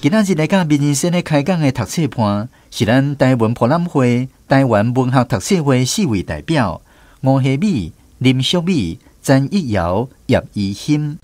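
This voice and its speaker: A man's voice: medium pitch, very animated, moderate pace, darkish tone, slight background noise. A man with a Taiwanese accent hosting radio, reading a scripted programme introduction. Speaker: radio host.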